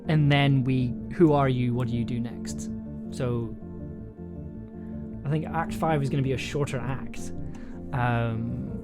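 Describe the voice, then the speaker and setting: Livestream host, medium pitch, casual and halting, thinks aloud, music underneath. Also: fairly even intonation. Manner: hesitant, halting, self-interrupting, thinking aloud. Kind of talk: casual livestream chat while writing and reading aloud. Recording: music playing behind the voice. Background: music, computer keyboard typing.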